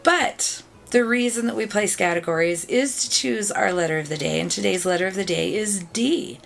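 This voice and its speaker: A middle-aged woman hosting a video game channel, chatting casually to viewers with a raspy, sore throat after being ill. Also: mid-range voice, moderate pace, slight background noise. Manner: chatty, upbeat, thanks viewers often.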